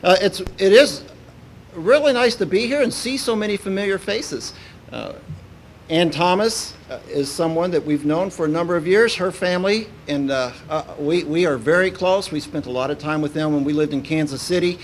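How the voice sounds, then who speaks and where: Hesitant Kentucky-raised minister, slightly high voice. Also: male voice, a little hiss or hum. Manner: halting, many "uh" fillers and restarts. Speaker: visiting minister. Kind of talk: informal sermon opening.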